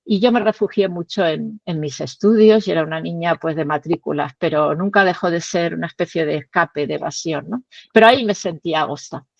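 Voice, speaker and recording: A woman's voice: deep voice, brisk, creaky, dry recording. Pastor woman, chatty and laughing. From Tenerife, Canary Islands. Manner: chatty, laughs often, reflective. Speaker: pastor and guest. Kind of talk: warm personal interview conversation. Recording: dull lo-fi sound.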